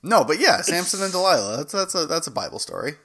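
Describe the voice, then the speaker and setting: A man's voice: fairly high pitch, very animated, fast talker, a bit bright. A man co-hosting a podcast in casual banter, with a playful, joking manner. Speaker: podcast co-host.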